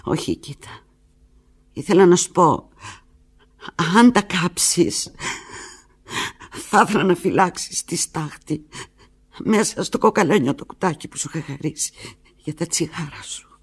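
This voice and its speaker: An actress playing a desperate, lovelorn woman on the telephone to the lover who left her, in a theatrical radio drama monologue, halting and emotional with a breathy, husky voice. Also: fairly low pitch, lively intonation, exaggerated voice. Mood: tender, pleading. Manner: halting, emotional, swinging from hushed murmurs to urgent cries.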